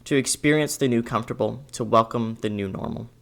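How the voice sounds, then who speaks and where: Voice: medium pitch, fairly quick, creaky, a bit bright. A young adult narrating a scripted video essay in an earnest, emphatic way.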